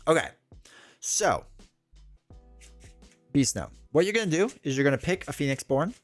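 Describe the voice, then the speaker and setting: A man's voice: fairly high pitch, very animated, normal speed, no room sound, soft background music. A man in his thirties hosting a casual livestream, rambling and joking at his own expense with lots of filler words. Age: 30s. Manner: rambling, self-deprecating, with frequent "like" and restarts. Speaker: livestream host.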